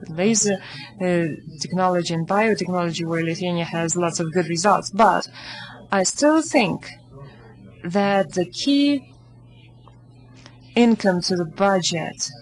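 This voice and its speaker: Low-voiced woman interpreting haltingly. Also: rough recording. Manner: halting, with restarts and "uh"s. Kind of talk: live consecutive interpreting into English.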